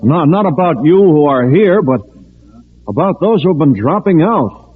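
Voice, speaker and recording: A man's voice: fairly high pitch, expressive, husky. An actor playing an earnest, frank civil defense chairman addressing a meeting in an old-time radio drama. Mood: earnest, disappointed. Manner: earnest, halting public address. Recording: muffled phone-like vintage radio recording.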